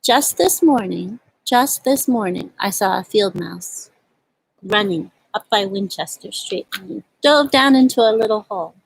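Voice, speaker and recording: A woman with a husky voice hosting a storytime for young children, speaking gently and in simple phrases as she reads and chats outdoors. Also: medium pitch, animated, a little slow, rough recording. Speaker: children's storytime host at a community farm. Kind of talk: storybook read-aloud and casual chat to children. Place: outdoors at a farm.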